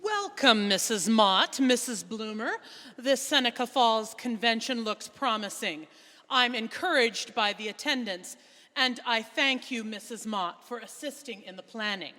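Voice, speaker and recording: A woman's voice: on the high side, expressive, a little slow, sharp tone. A woman playing a formal nineteenth-century women's convention organizer in a staged readers theater. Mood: welcoming. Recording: reverberant hall.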